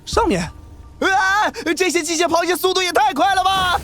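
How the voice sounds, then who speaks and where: 一名男演员在动画剧中饰演机灵莽撞的年轻男魔法师主角，说话直冲、急切。. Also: male voice, extremely high pitch, moderate pace, pushed and bright. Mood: urgent. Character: brash, quick-witted young male mage hero. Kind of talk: animated audio drama acting.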